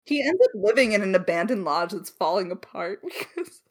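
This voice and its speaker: A woman's voice: mid-range voice, big pitch swings, brisk. A woman chatting casually as a podcast co-host, giggly, effusive and slangy. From Alberta, Canada. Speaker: podcast co-host, audio drama creator. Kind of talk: casual chat, answering listener questions.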